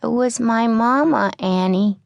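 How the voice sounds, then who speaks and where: High, plaintive little orphan girl. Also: measured pace, gravelly, no room sound, muffled recording. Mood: tearful, plaintive. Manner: childlike, plaintive crying out. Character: frightened six-year-old orphan girl, pleading for her mother.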